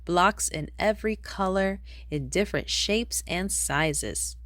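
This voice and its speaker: A woman reading a rhyming children's picture book aloud in an expressive storytelling manner. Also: medium pitch, slow pace, no room sound, clean studio sound. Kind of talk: read-aloud storybook narration in rhyme.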